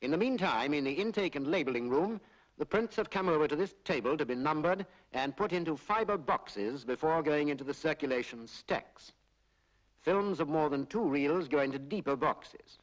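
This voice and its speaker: A British man narrating a 1940s public information film in a formal, measured, explanatory way, on a period film soundtrack. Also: on the high side, animated, low-quality recording. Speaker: documentary narrator.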